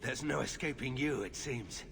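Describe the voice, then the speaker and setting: A man playing a grave, guarded warrior warden in a video game, speaking in a serious, measured way. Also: mid-range voice, big-room sound, lightly acted. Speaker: warden expedition leader in a fantasy game. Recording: clear background noise, game ambience.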